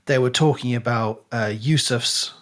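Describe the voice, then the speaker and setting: A man's voice: medium pitch, measured pace, fairly bright tone. A man co-hosting a casual book discussion podcast, speaking in an emphatic, analytical way. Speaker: podcast host.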